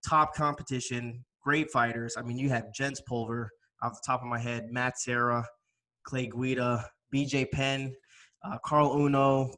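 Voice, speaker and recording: Bright-voiced, eager man hosting. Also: mid-range voice. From New Jersey, raised in Miami. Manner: enthusiastic fan, friendly, asks questions. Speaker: podcast host. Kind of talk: casual interview conversation.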